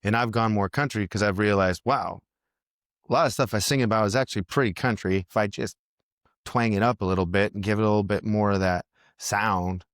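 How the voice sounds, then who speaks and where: A low-pitched man talks about music in a loose, unhurried podcast chat. He circles his point, restarting sentences as he thinks. The sound is dry and close. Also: steady tone, a bit bright, no echo. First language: English. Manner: rambling, thinks aloud with many restarts. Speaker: musician guest. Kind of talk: casual podcast conversation.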